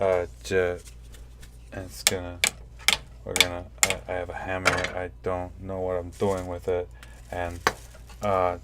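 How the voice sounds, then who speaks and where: An actor playing a bumbling, proud scientist with halting, stammering delivery in a comedic radio play. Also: male voice, sits low, heavy background noise. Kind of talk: comedic radio theatre acting.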